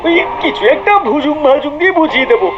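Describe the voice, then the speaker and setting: High-pitched sneaky cartoon shopkeeper. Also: expressive, fast talker, dull audio. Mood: hurried. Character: sneaky, flustered adult man, small-time crooked shopkeeper. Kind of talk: cartoon voice acting.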